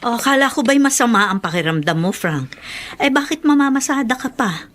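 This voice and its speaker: Concerned middle-aged wife. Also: female voice, on the high side, animated, fairly quick, a bit bright. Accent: Filipino. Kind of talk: Filipino radio drama acting.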